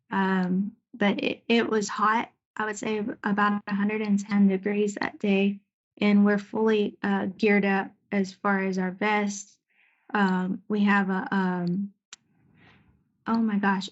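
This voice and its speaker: A woman giving an informal slide presentation about her service as a Navy air traffic controller, talking conversationally with searching pauses. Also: medium pitch, lo-fi sound. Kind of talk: informal slide presentation recounting deployment stories.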